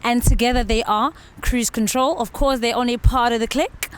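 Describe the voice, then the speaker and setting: A woman's voice: a little high, steady tone, brisk, clean tone. A woman hosting a casual interview with musicians. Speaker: interview host.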